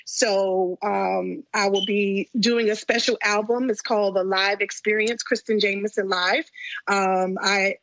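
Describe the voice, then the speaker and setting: A woman in her late thirties, a gospel singer telling stories as an interview guest, animated and laughing often. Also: medium pitch, a little slow, no echo, muffled recording. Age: 30s. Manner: animated storytelling, frequent laughter.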